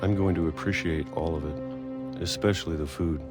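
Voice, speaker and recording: A very low-pitched actor's performance of a middle-aged recluse, worn down by decades of hiding, in a story-driven game. He speaks slowly and introspectively, pausing between thoughts, with prominent music underneath. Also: fairly even intonation, lightly acted. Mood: wistful, hopeful. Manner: slow, measured, introspective, with pauses. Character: weary reclusive man who hid underground for decades. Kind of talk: scripted game narration, reflective monologue.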